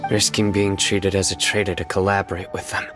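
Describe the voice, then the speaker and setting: Faint music sits under a male actor as a stoic enforcer of justice. His voice is low and solemn, with the restrained, measured delivery of a serious video game hero. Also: level tone, lightly acted. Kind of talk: dramatic video game dialogue.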